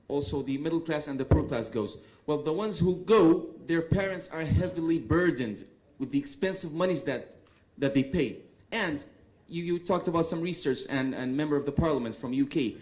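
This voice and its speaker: A man's voice: a little high, normal speed, few pauses, a little mellow, low-quality recording. A young man giving a rebuttal as a student debater.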